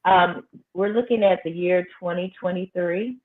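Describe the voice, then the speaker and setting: A woman's voice: fairly low pitch, normal speed. Firm woman principal, phone-like sound. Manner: emphatic, firm, repeats phrases for effect. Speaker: high school principal, guest. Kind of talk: casual interview talk. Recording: tinny phone-like line.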